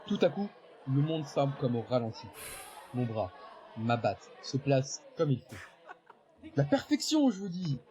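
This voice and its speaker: Guy playing a show-off teen baseball kid, teasing, super animated. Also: medium pitch, a little slow, long pauses. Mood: boastful. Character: boastful teenage boy, baseball-loving classmate. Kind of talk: fan audio drama acting.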